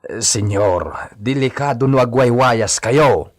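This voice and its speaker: A man playing a deferential, cautious hacienda henchman with a husky voice in a radio drama. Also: mid-range voice, slow pace, dry recording, clean studio sound. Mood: deferential, worried.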